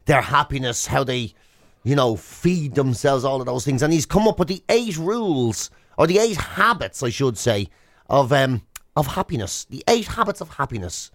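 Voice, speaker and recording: A man with an Irish accent co-hosting a radio show in casual, teasing, animated chat. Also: medium pitch, moderate pace, no echo, clean studio sound. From Ireland.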